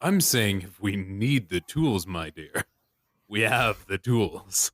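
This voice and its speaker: A man's voice: on the low side, expressive, slow, fairly bright tone, no echo, studio quality, exaggerated voice. A man playing a smug demolitions architect. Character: proud creepy male demolitions architect with long fingers.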